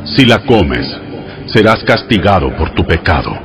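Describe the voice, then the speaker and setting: A man narrating an audio Bible in a solemn, measured, formal and instructive way over background music. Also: low-pitched, sing-song. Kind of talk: solemn scripted scripture reading.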